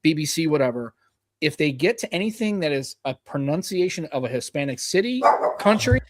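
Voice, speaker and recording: A man hosts a loose tech podcast, rattling along at a fast clip. His voice sits a touch high and the sound is dry and close. He rambles and jokes, now and then mimicking accents. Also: sing-song, no echo. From United States. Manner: rambling, mock-imitates accents. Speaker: podcast host. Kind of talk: casual panel chat.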